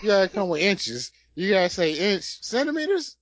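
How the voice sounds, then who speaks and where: Fairly high, lively man, laid-back co-host. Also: moderate pace, dry recording, dull audio. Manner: laid-back, laughing, stammers and restarts. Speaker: podcast co-host. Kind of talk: casual joking chat.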